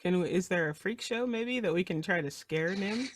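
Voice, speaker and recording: A woman's voice: fairly low pitch, normal speed, crowd noise. A woman playing a tabletop game, chatting casually in tentative, rambling phrases as she improvises. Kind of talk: casual improvised roleplay chat.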